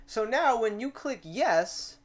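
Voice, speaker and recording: A woman hosting a casual software tutorial, talking informally and reassuringly to the viewer in an animated way. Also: a little high, takes it slowly. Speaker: tutorial host.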